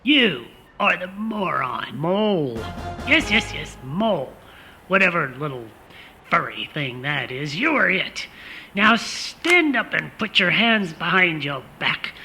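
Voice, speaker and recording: A man's voice: on the high side, very animated, takes it slowly, sharp tone, soft background music, exaggerated voice. A man playing a bumbling, gullible secret agent in a comic radio play, sounding flustered and pompous. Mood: excited, accusing. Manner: flustered, pompous, self-important. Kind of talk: comic radio theater acting.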